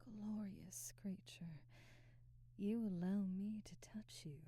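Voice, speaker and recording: A woman playing an elegant, domineering vampire noblewoman in an intimate ASMR roleplay, speaking softly and breathily in formal, measured phrases. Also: fairly low pitch, dry recording. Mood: admiring, teasing. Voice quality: soft, breathy, whispery. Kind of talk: intimate ASMR roleplay, slow whispery delivery.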